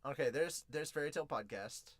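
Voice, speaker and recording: A man hosting a podcast in an excitable, informal, slangy way, chatting casually as he reviews and reads out comments. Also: fairly high pitch, brisk, no room sound.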